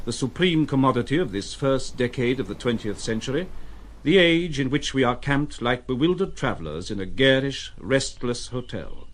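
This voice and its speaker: An actor playing a wry, musing narrator in a literary audio drama, with deliberate phrasing. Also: male voice, mid-range voice, natural voice.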